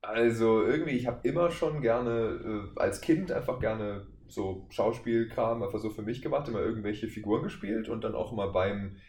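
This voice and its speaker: A man's voice: lowish voice, moderate pace, hardly any pauses, a little mellow. A man in his twenties from Germany talking casually as an interview guest, with a jokey, self-deprecating manner. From Wuppertal, Germany. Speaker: voice actor, podcast guest. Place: indoor room shared with the hosts. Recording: roomy echo, faint background noise.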